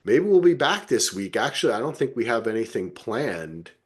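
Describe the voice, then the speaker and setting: A man's voice: mid-range voice, sing-song, moderate pace, breathy edge. A man from New Jersey with an American accent, chatting casually as a podcast host with sweary, buddy-like banter. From New Jersey, United States.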